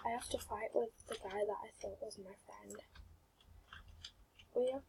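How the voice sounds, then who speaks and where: A woman giving quiet, awkward gameplay commentary on her channel, mumbling and giggling as she plays. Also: medium pitch, steady tone, unhurried, gravelly, noisy background. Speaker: gaming channel host.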